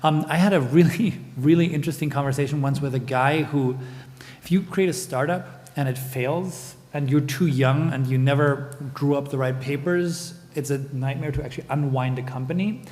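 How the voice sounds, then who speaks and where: A man's voice: medium pitch, normal speed, darkish tone. Man in a reverberant hall. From Germany. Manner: thoughtful, digressive, often adds "right?". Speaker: panelist. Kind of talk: panel discussion. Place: conference hall.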